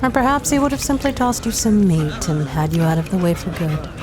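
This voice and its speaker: An actress playing a wry, defiant treasure-hunting adventuress in a 1930s-set audio drama, talking in a dry, sarcastic way. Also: female voice, on the low side, fairly quick, crowd noise, lightly acted. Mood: wry, resigned.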